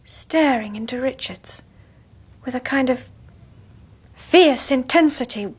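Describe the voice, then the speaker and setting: A troubled young mother in a radio horror drama. Her voice sits fairly high and has a breathy huskiness. She speaks haltingly, with hushed, dramatic pauses and a haunted unease. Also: heavily acted. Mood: haunted, uneasy. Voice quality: breathy, husky.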